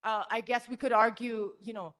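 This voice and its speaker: Loud, earnest woman testifying. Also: fairly high pitch, fairly even intonation, moderate pace. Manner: emotional, earnest, blunt. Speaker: public testifier. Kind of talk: public meeting testimony. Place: community meeting room.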